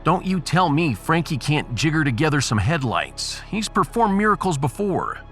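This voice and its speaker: A man playing a sarcastic, excitable, unheroic starship captain in a science fiction audio drama. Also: a little high, normal speed, soft background music. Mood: scheming, insistent. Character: wry, cowardly but bantering male starship captain. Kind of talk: sci-fi audiodrama acting.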